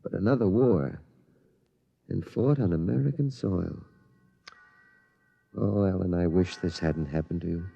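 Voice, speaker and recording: A man's voice: sits low, measured pace, vocal fry, long pauses, breathy edge, no room sound, exaggerated voice. An actor playing a cautious middle-aged father in 1950s radio drama, hesitant and incredulous. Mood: troubled. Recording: dull vintage radio recording.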